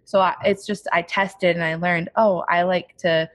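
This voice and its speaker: A woman with a somewhat low voice talks about building her business in a relaxed, reflective way. Her speech is chatty and loose, with plenty of "like" fillers. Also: moderate pace. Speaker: entrepreneur guest. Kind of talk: casual interview talk.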